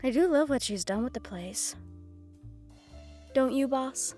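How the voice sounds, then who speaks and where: A woman's voice: high voice, lively intonation, a little slow, dark tone, faint music behind. Woman playing a menacing, silky mafia underboss woman. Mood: veiled menace. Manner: mock-polite, coolly threatening. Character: menacing, composed female mafia underboss, silkily condescending. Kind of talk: audio roleplay acting.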